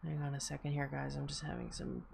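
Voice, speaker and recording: A woman's voice: extremely low pitch, little pitch movement, fast talker, a little mellow. A woman hosting an online table read in casual livestream chat. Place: indoors on an online voice chat stream. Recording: clear background noise, clicking.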